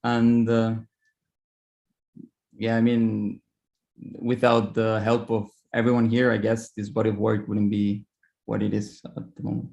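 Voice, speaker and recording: A man from Latin America speaks with a gravelly creak. He answers slowly and reflectively, as a participant in an online session. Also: on the low side, little pitch movement, a little mellow, dull audio. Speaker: masterclass participant, photographer. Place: online video call.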